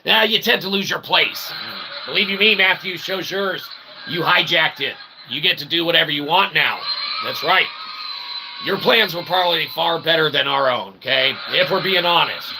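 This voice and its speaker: A man's voice: fairly high pitch, moderate pace, gravelly, noisy background. A man hosting a web show in a rambling, casual, self-deprecating monologue, folksy and full of tag questions.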